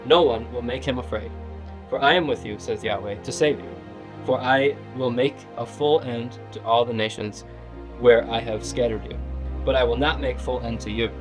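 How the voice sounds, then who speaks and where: A man's voice: medium pitch, moderate pace, poor audio. Man reading scripture over prominent music. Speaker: Bible reader.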